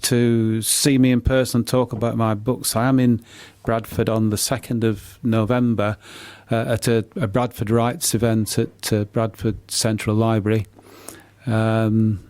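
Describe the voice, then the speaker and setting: A middle-aged man answering in a radio interview, halting and often repeating words as he explains. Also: lowish voice, flat delivery, a little mellow, clear, resonant tone, no room sound. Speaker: author and poet being interviewed.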